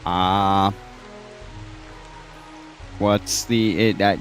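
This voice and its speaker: A man with a lowish voice talks through his moves in a tabletop game. He goes slowly and hesitantly, thinking out loud in a casual way over soft music. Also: steady tone. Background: background music.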